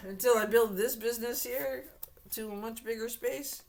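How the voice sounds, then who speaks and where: A woman doing a casual tarot reading to camera, hoarse and losing her voice, clearing her throat often and speaking to the viewer bluntly and directly. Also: a little high, fairly even intonation, measured pace, fairly bright tone, a little hiss or hum. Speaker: tarot reader.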